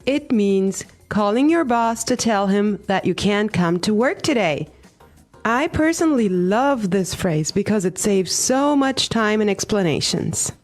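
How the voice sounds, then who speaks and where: A woman co-hosting an English lesson podcast, cheerful and clearly explaining each phrase. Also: mid-range voice, animated, a little slow, soft background music. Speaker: podcast co-host, English teacher.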